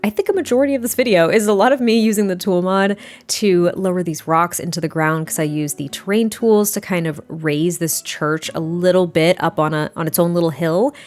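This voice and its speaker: Slightly hoarse, chatty woman. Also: fairly low pitch, brisk, darkish tone. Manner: chatty and rambling, self-correcting, tired. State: slightly hoarse, a little rough. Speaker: Sims speed build creator. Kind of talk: casual voiceover chat.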